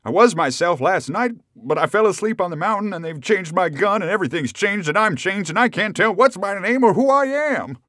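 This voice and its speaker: Man acting a bewildered old man, high and pleading. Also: very animated, moderate pace, hardly any pauses, darkish tone, breathy edge, dry recording, studio quality. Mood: bewildered despair. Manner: plaintive, exclamatory.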